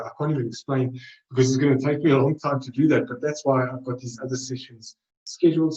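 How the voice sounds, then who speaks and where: A man's voice: mid-range voice, darkish tone, recorded from a distance. South African man, webinar presenter, rambling self-correcting delivery, roomy echo, dull lo-fi sound.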